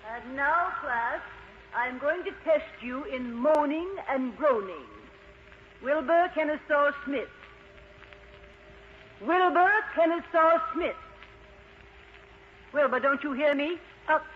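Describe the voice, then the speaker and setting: A man's voice: very high voice, slow, long pauses, voice pushed up. A man voicing a strict, exasperated female ghost schoolteacher in a storybook tale, scolding and commanding in a theatrical way. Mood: stern. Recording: dull, lightly noisy recording.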